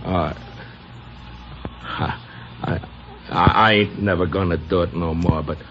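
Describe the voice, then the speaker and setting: Low-voiced, stammering, punch-drunk American boxer. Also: male voice, steady tone, takes it slowly, over music. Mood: nervous, pleading. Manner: stammering, repeating words, childlike and pleading. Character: sweet, slow, punch-drunk down-and-out boxer, gentle and anxious. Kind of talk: old-time American radio drama acting. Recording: dull, noisy old radio recording.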